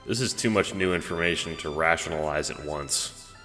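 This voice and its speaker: Male narrator for scripted audio drama: very low, bright-edged voice, measured pace, reverberant hall sound. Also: faint music behind.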